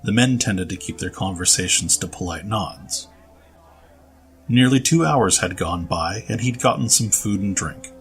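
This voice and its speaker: A man's voice: sits low, expressive, a little slow, faint music behind. A man reading scripted story narration as the narrator.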